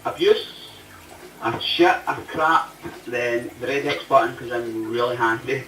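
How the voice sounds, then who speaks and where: A man's voice: medium pitch, unhurried, a bit bright. A British man in casual, blunt, sweary banter as a user of a chat app. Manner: blunt, foul-mouthed. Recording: echoey, distant playback with faint noise.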